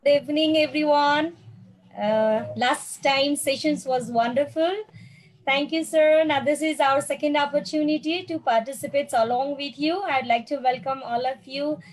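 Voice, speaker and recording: A woman's voice: high-pitched, steady tone, normal speed, rough recording. A woman from Nepal, a school principal, welcoming everyone formally.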